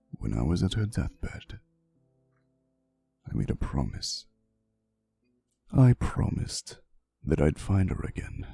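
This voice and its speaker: Very deep, gravelly vampire boyfriend, acted by a man. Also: full character voice. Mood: wistful, tender. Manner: soft, slow, hesitant storytelling with teasing asides. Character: centuries-old romantic vampire boyfriend, teasing, wistful, faintly menacing. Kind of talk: intimate ASMR roleplay monologue. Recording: soft music behind the voice. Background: quiet instrumental music.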